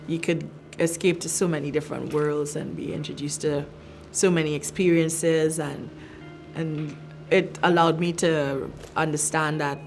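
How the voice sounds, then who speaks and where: A woman's voice: sits low, creaky. A middle-aged woman from Trinidad and Tobago, a filmmaker reflecting on her work in a thoughtful interview with many fillers and restarts. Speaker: filmmaker, arts director.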